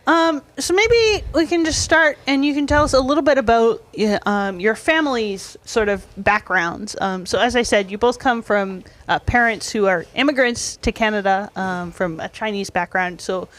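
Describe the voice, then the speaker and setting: A young woman hosts a casual church podcast conversation. Her voice sits a little high, and she keeps her guests talking with encouraging okays and easy follow-up questions. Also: normal speed, few pauses, fairly bright tone. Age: young adult. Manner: friendly, encouraging prompts with many okays. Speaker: podcast host.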